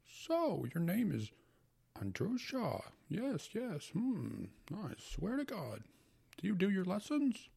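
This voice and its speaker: A man voicing a fifty-year-old bachelor uncle who mutters slowly and wistfully. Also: sits high, huge pitch swings, creaky, a little mellow, husky, voice pushed up, exaggerated voice. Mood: muttering.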